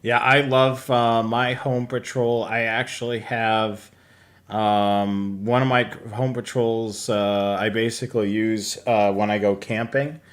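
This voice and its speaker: An American man co-hosting a live hobby show, chatting casually with halting, enthusiastic delivery. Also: lowish voice, flat delivery. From New England, United States.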